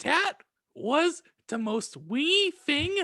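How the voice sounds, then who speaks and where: A woman's voice: high-pitched, big pitch swings, very slow, sharp tone, no room sound, studio quality, exaggerated voice. A woman voicing a crude, sullen teenage goth girl in a comedic fan fiction reading. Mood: sullen, brash.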